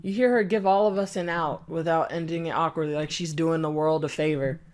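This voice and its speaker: A woman's voice: low voice, fairly even intonation, fairly quick, darkish tone. A woman giving a sarcastic, exasperated commentary rant while reacting to a video. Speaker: commentary youtuber. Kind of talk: reaction rant over a clip.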